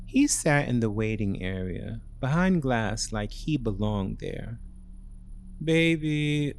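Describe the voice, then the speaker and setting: A man's voice: mid-range voice, slight background noise. A man giving a dramatic first-person narration of a thriller story.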